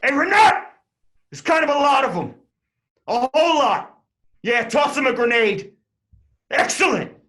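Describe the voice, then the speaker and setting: An actor playing a vulgar, brutal riot police officer who barks orders and taunts. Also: male voice, high voice, big pitch swings, normal speed, a bit bright. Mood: barking.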